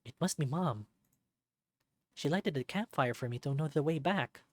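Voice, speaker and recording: A man reading a visual novel aloud as narrator, in an expressive, dramatic storybook style. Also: on the high side, normal speed, no echo, studio quality.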